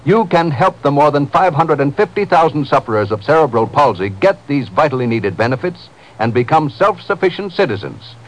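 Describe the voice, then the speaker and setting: Animated, high-voiced male radio announcer. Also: brisk, hardly any pauses, rough recording. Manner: dramatic, earnest, emphatic. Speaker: charity appeal announcer. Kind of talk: scripted 1950s radio appeal.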